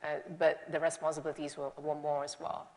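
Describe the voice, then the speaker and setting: Woman guest speaker, 40s, Malay native, from Singapore; chatty, laughs at herself; low voice, hall reverb. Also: level tone, brisk, a little mellow. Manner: chatty, self-deprecating, laughing. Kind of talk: onstage interview answers. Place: lecture hall.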